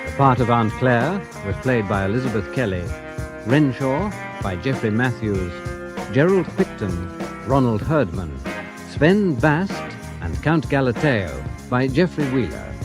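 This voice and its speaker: A man reading scripted closing credits as a radio announcer, formal and measured. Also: mid-range voice, sing-song. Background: music.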